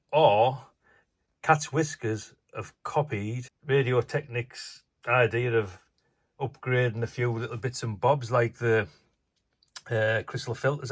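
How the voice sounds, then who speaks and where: A man hosting his own channel, talking casually and offhand while he works, with lots of fillers, recorded on a phone at an indoor workbench. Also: medium pitch. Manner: rambling, offhand, many fillers. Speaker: channel host. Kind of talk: casual hands-on commentary.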